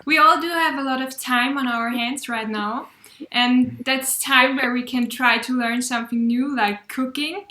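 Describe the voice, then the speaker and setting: High, bright-voiced woman co-host. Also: measured pace. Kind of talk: casual interview chat. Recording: echoey room.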